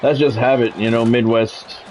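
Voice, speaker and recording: A man's voice: medium pitch, steady tone, normal speed, darkish tone, slight background noise, poor audio. Man casually commentating his game stream. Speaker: game livestreamer. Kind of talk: casual gameplay commentary, chatting with viewers.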